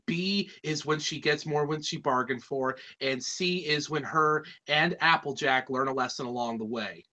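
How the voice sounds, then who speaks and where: Bright-voiced Californian guy reviewing. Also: fairly high pitch, moderate pace. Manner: rambling, animated, slangy. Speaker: reviewer, podcast host. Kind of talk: casual review chat.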